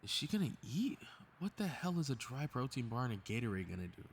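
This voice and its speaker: A man's voice: medium pitch, big pitch swings, quick pace, husky. A man playing a cocky, snarky superhero in a scripted audio roleplay, muttering to himself with sarcastic exasperation. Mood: exasperated. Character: cocky, snarky, meddling invisible male superhero grumbling to himself.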